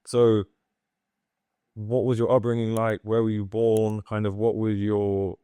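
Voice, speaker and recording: A man's voice: lowish voice, little pitch movement, measured pace, mellow, clean tone, no echo. A man hosting a podcast, reading a scripted intro and asking casual questions full of "like" fillers. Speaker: podcast host.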